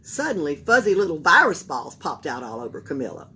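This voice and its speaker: Animated, slightly husky woman reading a storybook. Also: low-pitched. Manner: expressive, emphatic, deliberate. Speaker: library storytime reader. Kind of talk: animated children's read-aloud.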